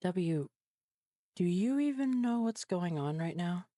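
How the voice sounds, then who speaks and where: A woman's voice: fairly low pitch, lively intonation, takes it slowly, mellow, no room sound, clean studio sound, faint music behind. A woman playing a stern, scolding female doctor in an amateur game fan dub, cold and reproving.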